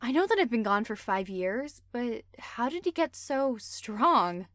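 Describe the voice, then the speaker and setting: A woman's voice: a little high, big pitch swings, a little slow, a bit bright, no room sound. A woman playing a protective, suspicious young pack member in a roleplay drama, firm and earnest.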